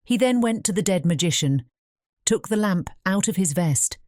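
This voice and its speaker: A woman's voice: mid-range voice, animated, moderate pace, a little mellow, no echo, studio quality. A woman narrating a fairy-tale audiobook in a scripted storybook style.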